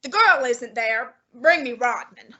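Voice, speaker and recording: A young woman voicing a formal, fussy genius male detective in an old-time radio drama, speaking in a deliberate, exacting manner. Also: on the high side, expressive, highs cut off, over loud music. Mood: reasoning. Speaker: student actor.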